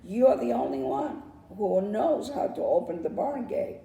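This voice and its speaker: An earnest, helpful mother-hen of a storybook bird, voiced by a woman, speaks with urgency to young goats. The pitch is lifted and swings about, over a mellow tone, heard in an echoey room. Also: animated, moderate pace, creaky. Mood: urgent, worried. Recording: roomy echo, distant microphone.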